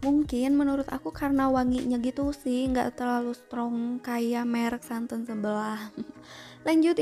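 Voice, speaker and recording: A woman's voice: high-pitched, a little mellow. A young woman vlogger chatting through a grocery shopping trip, often asking viewers to comment below.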